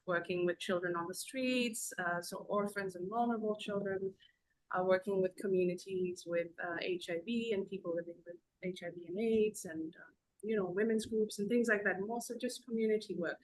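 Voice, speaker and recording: A woman from Zambia talks as a podcast guest in a loose, rambling way, pausing often as she tells her story. Her voice sits in an ordinary middle pitch. She comes through a video call. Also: steady tone, poor audio. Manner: rambling, conversational storytelling with many pauses. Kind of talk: casual podcast conversation.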